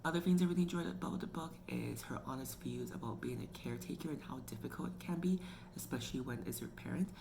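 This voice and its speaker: A woman's voice: rumbling low, fast, vocal fry, dark tone. A woman hosting her own book review channel, talking casually and chattily with lots of "like" and "you know". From Canada. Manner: chatty, filler-heavy with frequent "like" and "you know". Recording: noticeable background noise.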